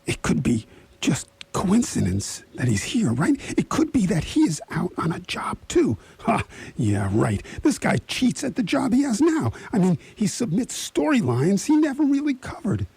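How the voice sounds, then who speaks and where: A man playing a nervous, vain, fussy schemer in a radio play, with a raspy breathy voice and a dithering, dramatic manner. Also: high-pitched, huge pitch swings, normal speed, darkish tone, no echo, exaggerated voice. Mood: anxious scheming. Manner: dithering, dramatic self-questioning, dropping into whispers. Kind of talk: radio theatre acting.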